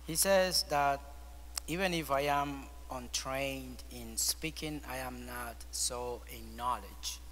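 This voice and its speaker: A priest whose first language is Spanish, speaking English with a Spanish accent. He gives a homily at the altar of a large church and often pauses to search for words. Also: medium pitch, a bit bright. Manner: hesitant, searching for words with frequent ums. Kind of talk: weekday homily. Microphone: far camera. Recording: long church reverb, faint hum.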